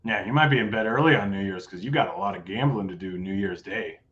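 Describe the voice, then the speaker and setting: Man with a low, lo-fi voice. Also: quick pace, fairly bright tone. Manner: laid-back, rattles off odds. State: losing his voice. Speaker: sports betting show host. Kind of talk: casual betting picks chat.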